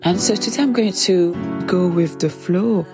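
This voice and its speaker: A woman hosting a reflective solo podcast, speaking slowly and thoughtfully, her voice stuffy from a cold. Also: fairly low pitch, over loud music. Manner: slow, measured, thoughtful delivery. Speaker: podcast host.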